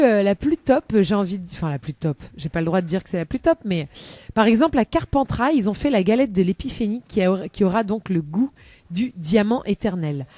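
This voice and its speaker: A woman's voice: medium pitch, warm tone, no room sound. A woman chatting on a live radio show as a columnist, rambling with many hesitations and laughing often, into a studio microphone.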